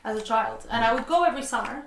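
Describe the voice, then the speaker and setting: A woman in her twenties, a podcast guest, telling her story in a quick, animated, chatty way. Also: on the high side, a crowd in the background. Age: 20s. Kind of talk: casual conversational storytelling. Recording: echoey room, mic at a distance, faint noise.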